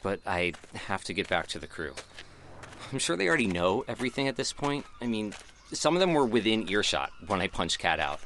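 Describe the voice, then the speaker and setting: A regretful theatre leader with a low voice that moves widely in pitch. He narrates an audio drama in a reflective, confiding way, with some noise behind him. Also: fairly bright tone. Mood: dejected. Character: regretful theatre troupe leader, wistful man.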